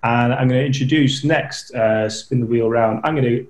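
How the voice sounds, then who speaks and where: Brief: male panelist, mid pitch, easygoing, echoey room sound. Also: moderate pace. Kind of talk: casual webcast chat.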